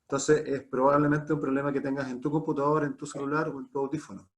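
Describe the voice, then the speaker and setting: A man's voice: mid-range voice, little pitch movement, fast. A Chilean man casually hosting a live online talk, chatty and given to repeating short phrases. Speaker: host of an online talk series.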